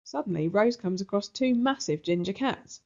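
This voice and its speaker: A woman narrating a children's storybook aloud in an expressive style. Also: medium pitch, normal speed, creaky, dull audio.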